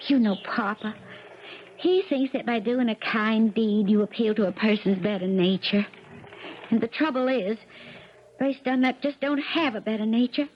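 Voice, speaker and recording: Lady playing worried sweet country daughter, folksy n high voice, old muffled radio play. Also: moderate pace, close to an everyday voice. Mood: worried, sorrowful. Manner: pleading, earnest, folksy country speech. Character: worried, devoted young country woman, preacher's daughter. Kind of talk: 1970s radio drama acting. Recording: dull, noisy 1970s radio broadcast.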